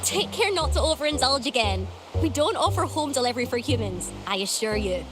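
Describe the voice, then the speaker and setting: An actress playing a stern, righteous shopkeeper in a video game, prim, clipped and scolding. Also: female voice, sits high, expressive, fairly quick, music in the background. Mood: dryly stern.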